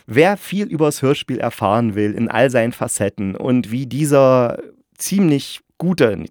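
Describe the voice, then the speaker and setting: A man's voice: fairly low pitch, a little mellow, dry recording. Chatty man hosting a podcast, dry sound. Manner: chatty, self-correcting. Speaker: podcast host and radio drama reviewer. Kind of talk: casual spoken review podcast.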